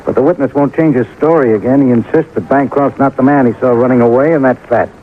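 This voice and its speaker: An American actor playing a plainspoken, weary homicide sergeant in a 1950s radio drama, terse and colloquial. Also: male voice, mid-range voice, fairly even intonation, quick pace, natural voice. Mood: dogged. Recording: tinny, noisy old radio recording.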